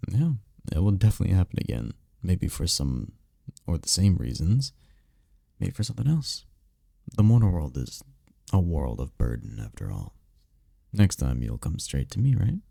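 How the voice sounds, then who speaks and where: A man playing a tender, powerful incubus boyfriend in an intimate roleplay ASMR, speaking softly and soothingly with unhurried, caring murmurs. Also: sing-song, no echo, studio quality, exaggerated voice. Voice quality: deep, dark, gravelly, husky. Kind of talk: intimate roleplay ASMR, scripted comfort monologue. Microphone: close mic, dry.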